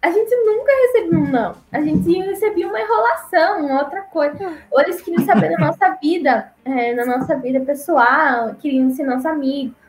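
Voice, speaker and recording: A young woman from Brazil, chatting casually and laughing often as she tells stories, acting out the people she quotes in a higher voice. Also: brisk, few pauses, echoey room, low-quality recording. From Paraná, southern Brazil. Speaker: guest, former missionary. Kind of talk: casual conversational storytelling.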